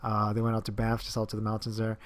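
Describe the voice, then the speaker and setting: A man's voice: on the low side, monotone, fast. A man, a personal trainer, talking casually to camera about a client, rambling with many fillers and restarts. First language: English.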